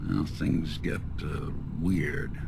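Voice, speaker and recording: An actor playing a weary, wry synthetic detective in a video game, with hardboiled, slangy phrasing. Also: male voice, a bass voice, steady tone, extremely slow, creaky, background noise, exaggerated voice. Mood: reflective. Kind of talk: video game dialogue acting.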